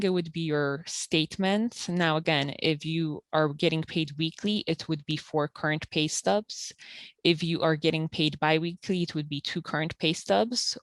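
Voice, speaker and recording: Woman, health center counselor, very low pitch, dry sound, step-by-step explaining, filler words. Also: no room sound. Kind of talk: informational interview.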